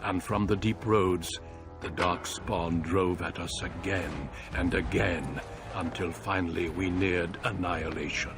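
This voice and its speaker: An actor playing a solemn warrior who narrates a fantasy game with a grave, measured, portentous delivery. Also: male voice, sits low, fairly even intonation. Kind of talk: scripted cinematic game narration. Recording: loud music under the voice. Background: loud music.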